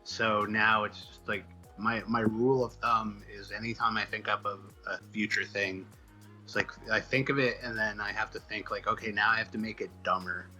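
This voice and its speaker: A man answering interview questions in a casual, halting, self-deprecating way, thinking out loud as he rambles along. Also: fairly low pitch, level tone, faint music behind, poor audio. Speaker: comic book writer being interviewed.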